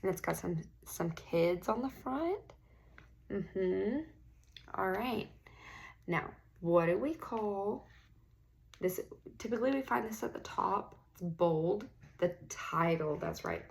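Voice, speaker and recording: A woman's voice: fairly low pitch, vocal fry, low-quality recording. A woman teacher reading a picture book aloud to young children, expressively and with encouraging questions and praise. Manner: expressive storybook delivery, drawing out key words. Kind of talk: interactive classroom read-aloud with questions and praise.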